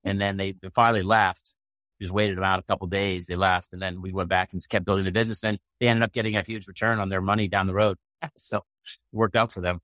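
A man's voice: sits low, level tone, fast talker, a bit bright, no echo. A middle-aged American man from Maine telling his story casually as an interview guest, in a blunt, colloquial way. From Maine, United States. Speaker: interview guest, tech entrepreneur.

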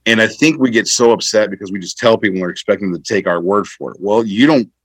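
A man's voice: fairly low pitch, fast, husky, no room sound. A man speaking as an interview guest and military veteran, explaining his views conversationally in an emphatic, repetitive way.

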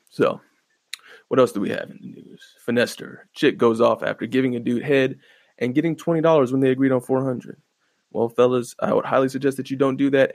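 A man hosting his own podcast, rambling in a laid-back, profane way with a fairly monotone voice and lots of fillers. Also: medium pitch, gravelly, no echo. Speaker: podcast host. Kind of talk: casual solo podcast commentary. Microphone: close podcast microphone.

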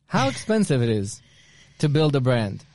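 Brief: warm, mellow male co-host, anecdotal and chatty, dry close sound. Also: mid-range voice, very animated, normal speed, no room sound. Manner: storytelling, restarts often, anecdotal. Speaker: podcast co-host. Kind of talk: casual conversational chat.

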